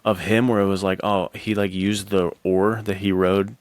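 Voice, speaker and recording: Low-voiced man in slangy, bro-like chat. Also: flat delivery, moderate pace, no room sound. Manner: slangy, bro-like, acts out quotes playfully. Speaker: podcast co-host. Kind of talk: casual animated storytelling chat.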